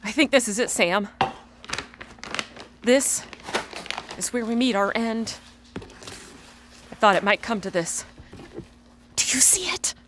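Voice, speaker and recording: An actress playing a frightened, melodramatic character making a halting confession in a comic audio drama. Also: female voice, on the high side, noisy background. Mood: fearful, melodramatic. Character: melodramatic frightened small doomed character confessing to companion Sam.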